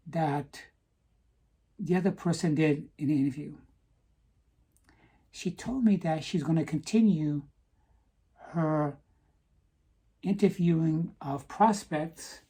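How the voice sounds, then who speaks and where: A woman talking earnestly to camera in a personal vlog, stopping to ask "okay?" for emphasis, with some halting restarts. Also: deep voice, warm tone, breathy edge. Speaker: vlogger.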